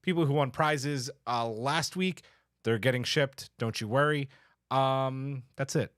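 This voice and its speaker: A man with an average-pitched voice hosts a podcast in an informal, slangy way. He chats casually and swears mildly now and then, recorded close and dry. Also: unhurried, fairly bright tone, clear, resonant tone, no room sound. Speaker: podcast host. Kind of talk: casual chat, wrapping up a podcast.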